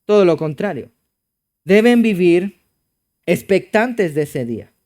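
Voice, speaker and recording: A man with a Chilean accent preaching a sermon as pastor, emphatic and moving between loud exclamations and low hushed passages. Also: lively intonation, unhurried. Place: church.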